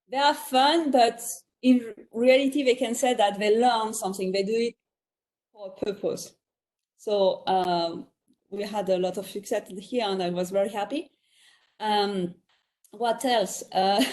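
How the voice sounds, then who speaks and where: A woman's voice: medium pitch, darkish tone. Woman, French accent, panel guest, chatty, laughs often, video call. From France. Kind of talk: virtual panel discussion.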